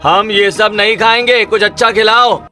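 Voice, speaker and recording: A man's voice: sits high, fairly even intonation, normal speed, sharp tone, soft background music, pitched above the performer's own voice. A man voicing a dismissive, grumbling younger village brother in a cartoon story. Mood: demanding.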